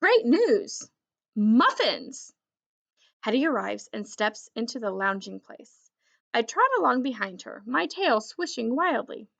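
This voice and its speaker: A woman reading a children's story aloud in an expressive, deliberate storytelling manner. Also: a little high, creaky. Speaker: children's book reader.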